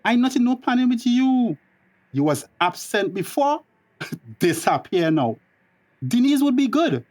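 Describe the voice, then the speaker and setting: A man's voice: extremely high pitch, big pitch swings, measured pace, a little mellow, exaggerated voice. A man playing a combative, taunting middle-aged uncle with a Tobagonian accent in a radio drama, speaking in confrontational dialect. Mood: mocking. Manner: mocking, confrontational dialect speech. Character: combative, taunting family uncle guarding a secret.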